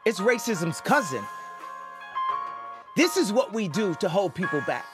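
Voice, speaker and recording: A woman's voice: medium pitch, big pitch swings, unhurried. A woman delivering a speech with hip hop music behind her.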